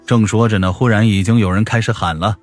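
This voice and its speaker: A man's voice: on the low side, fairly even intonation, fast, darkish tone. A man narrating a scripted audiobook story. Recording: music behind the voice.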